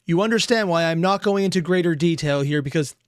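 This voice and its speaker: A man in casual reaction commentary, voice worn a little hoarse, talking in a slightly raised register. He rambles through asides and swears freely. The sound is close and dry, with no room around it. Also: on the high side, quick pace, no echo. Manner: rambling, profane, animated asides. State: losing his voice. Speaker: reaction streamer.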